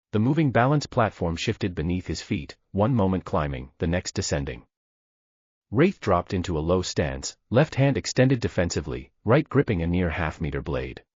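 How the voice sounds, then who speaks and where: A man's voice: sits low, a little mellow, slightly rough, no echo. A man giving grave, dramatic narration of a science fiction story. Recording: dull dry lo-fi recording.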